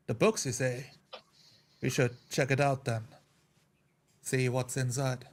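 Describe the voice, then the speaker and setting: A man's voice: mid-range voice, level tone, slow, crowd noise. A man playing a tabletop roleplay game, speaking in character and narrating his own actions.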